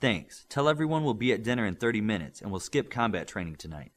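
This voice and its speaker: A man voicing a commanding adult male superhero team leader who talks firmly, briskly and with authority. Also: lowish voice, very animated.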